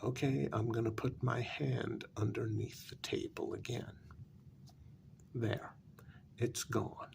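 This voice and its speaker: Man playing a slow, gravelly, soft-spoken jaded vampire. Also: medium pitch, fairly even intonation, slight background noise. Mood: exasperated. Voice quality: soft, gravelly, breathy half-whisper. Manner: slow, deliberate, weary sarcasm. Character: jaded, irritable male vampire hiding a hideous face. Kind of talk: soft-spoken ASMR role-play monologue.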